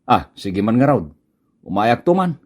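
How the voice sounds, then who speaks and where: A man acting an older grumbling uncle in an Ilocano comedy radio drama, with an Ilocano Filipino accent and expressive colloquial delivery. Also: mid-range voice, normal speed.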